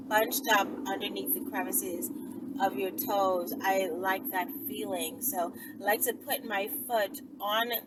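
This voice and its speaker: A woman vlogger chatting casually to her viewers from inside a car, informal and digressive as she thinks aloud. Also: mid-range voice. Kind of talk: casual rambling chat to viewers. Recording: noisy car interior, clear vehicle noise.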